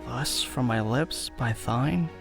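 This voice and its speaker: Man acting a young romantic lover, unhurried, vocal fry, tender, Shakespearean verse, audio drama. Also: mid-range voice. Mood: tender wooing. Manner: tender, measured verse delivery. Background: music.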